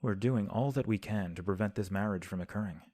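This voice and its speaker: A man playing a calm, formal young prince. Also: lowish voice, a little fast, dry recording, studio quality, close to an everyday voice. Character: composed young male prince giving counsel. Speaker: voice actor. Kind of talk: audio drama acting. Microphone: home recording.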